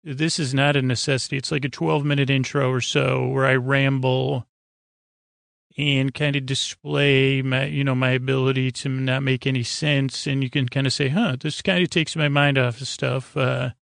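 An American man hosting a sleep podcast, rambling in a low-key, halting way and trailing off mid-thought. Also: level tone, gravelly, fairly bright tone, no echo, clean studio sound. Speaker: podcast host.

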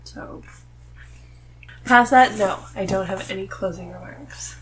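A woman's voice: mid-range voice, unhurried, fairly bright tone, poor audio. A woman with a nasal voice, talking casually as a podcast guest. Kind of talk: casual conversational chat. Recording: noticeable background noise.

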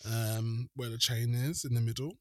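A man's voice: medium pitch, steady tone, normal speed, a little mellow, no echo, clean studio sound. A man co-hosting a podcast, giving casual, hesitant opinions on fashion. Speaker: podcast co-host. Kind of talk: casual chat, fashion commentary.

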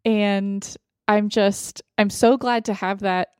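A woman's voice: mid-range voice, steady tone, takes it slowly, a little mellow, smooth, no room sound. Young female podcast co-host, mid-range voice, casual chat, dry sound.

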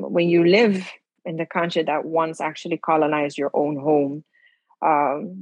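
A young woman hosts a podcast with a lowish, husky voice. She speaks thoughtfully and at a measured pace. The sound is dry and close. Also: darkish tone, no room sound. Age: young adult. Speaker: podcast host. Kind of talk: reflective podcast introduction.

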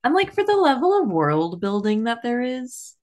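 A woman's voice: a little high, big pitch swings, moderate pace, a little mellow. A woman co-hosting a book podcast, chatting casually and candidly, peppering her sentences with "like". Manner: conversational, heavy use of "like", candid.

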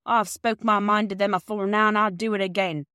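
Give a woman playing a proud working-class older man in a storybook, gruff and loud. He speaks in blunt dialect. The recording is dry and close. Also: mid-range voice, fairly even intonation, a little fast, no room sound, close to an everyday voice. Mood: angry.

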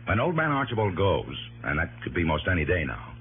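A man's voice: low-pitched, wildly swooping pitch, fairly quick, slightly rough, phone-quality sound, full character voice. An actor playing a harried, dry insurance company man in a 1960s radio drama. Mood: grave, explanatory. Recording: thin, noisy old radio broadcast.

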